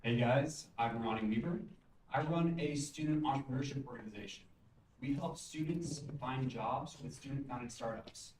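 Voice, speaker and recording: A young man delivering a rehearsed startup pitch as a student founder. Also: normal speed, darkish tone. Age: young adult. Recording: roomy, distant mic, faint noise.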